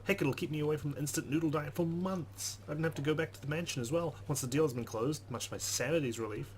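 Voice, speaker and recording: A man's voice: a little high, fast, a little mellow. A man hosting a let's play, reading game text aloud dramatically and adding wry asides. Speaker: gaming host. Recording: faint background noise.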